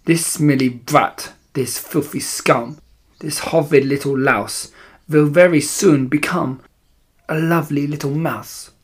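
A man voicing a theatrical, gloating villainess witch in an audiobook, with slow, drawn-out, relishing delivery. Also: a little high, fairly even intonation, slightly rough. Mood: gloating, scornful. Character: Grand High Witch, gloating theatrical villainess, syrupy then shrieking. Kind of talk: audiobook character voice.

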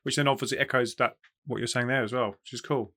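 A man's voice: mid-range voice, fairly even intonation, fast talker, bright, forceful tone. A man hosting a casual livestream interview, speaking informally with plenty of slang. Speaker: interview host.

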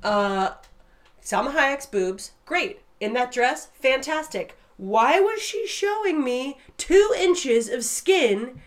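A woman's voice: high-pitched, slow. A woman co-hosting a podcast in casual chat, ranting with lots of swearing and laughter. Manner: profane, laughing, animated rants. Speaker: podcast co-host.

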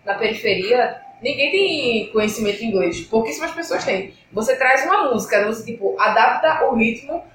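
Mulher de voz aguda em sala ecoante. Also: female voice, fairly high pitch, animated, brisk, hardly any pauses, a bit bright, echoey room, slight background noise, rough recording. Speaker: podcast co-host. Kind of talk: casual podcast chat.

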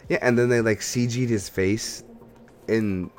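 A man's voice: fairly low pitch, fairly even intonation, unhurried, faint music behind. A man co-hosting a podcast, chatting casually about games and films in a rambling, think-aloud way. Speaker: podcast co-host.

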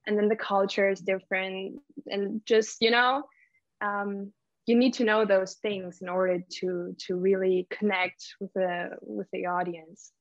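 A woman's voice: medium pitch, steady tone, unhurried, no room sound. Woman guest on dull, dry audio. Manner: thoughtful, hesitant with many ums. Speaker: interview guest. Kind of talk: casual interview chat.

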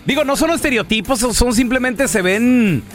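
A man hosting a joking radio chat, animated, teasing and full of slang. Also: very high-pitched, normal speed, faint music behind. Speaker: radio show host.

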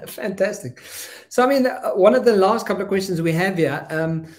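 A man's voice: high voice, moderate pace. A man hosting an interview, rambling and enthusiastic, with a frequent "you know". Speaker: host. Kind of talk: conversational interview.

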